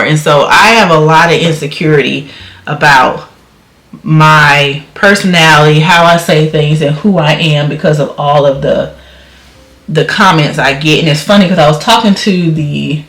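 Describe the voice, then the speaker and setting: A woman vlogger with a naturally high voice chatting casually while doing her makeup at home, loud, blunt and outspoken, rambling with plenty of fillers. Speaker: beauty vlogger. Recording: echoey room.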